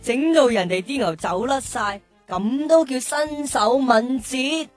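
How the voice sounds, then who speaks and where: A woman playing a cheeky, sharp-tongued young schoolchild in a radio drama. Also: high voice, slow pace, soft background music. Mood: sarcastic. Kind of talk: childlike radio drama acting.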